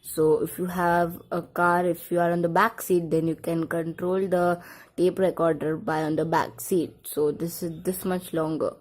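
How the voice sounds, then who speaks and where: Lower-pitched, mellow woman presenting an unboxing. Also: flat delivery, normal speed. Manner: simple, repetitive short phrases while demonstrating. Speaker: product review vlogger. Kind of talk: casual unboxing and demonstration.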